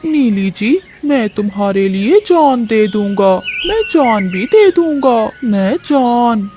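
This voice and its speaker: A woman's voice: fairly high pitch, animated, takes it slowly, mellow, soft background music. A woman voicing a gullible, boastful, lovestruck married male crow in a cartoon story, eager and gushing. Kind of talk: Hindi cartoon story dialogue.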